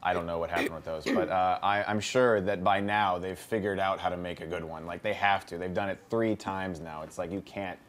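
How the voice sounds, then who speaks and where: A man talks as a movie reviewer in a casual panel setting. His voice is very deep, and he speaks at a rapid clip. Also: steady tone, a bit bright, slight background noise.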